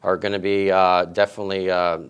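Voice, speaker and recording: A middle-aged man, a head tennis coach, answering interview questions in a relaxed way with many fillers. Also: sits low, fairly quick, clean tone. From grew up in Italy and Queens, New York.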